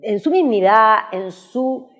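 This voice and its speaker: A woman's voice: a little high, expressive, slow pace, fairly bright tone. Emphatic woman host, dull sound. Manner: emphatic, uses rhetorical questions. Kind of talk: political discussion.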